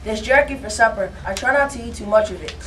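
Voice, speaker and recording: A woman's voice: mid-range voice, fast talker, fairly bright tone, close to an everyday voice. A woman voicing an earnest, self-reliant frontier boy with a plain line reading, recorded from a distance. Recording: distant mic with faint noise.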